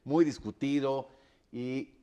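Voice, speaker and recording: A man giving political analysis on a panel, speaking deliberately and often tagging his points with a questioning "right?". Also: mid-range voice, fairly even intonation, mellow, clear, resonant tone. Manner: deliberate, repeats "me parece" and tags "¿no?". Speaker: panel commentator.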